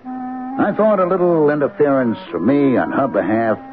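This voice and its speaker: An actor playing a blunt, authoritative police captain in an old-time radio drama, questioning and commanding. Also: male voice, medium pitch, very animated, normal speed, over music, natural voice. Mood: wry, confiding. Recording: muffled, noisy old radio broadcast recording.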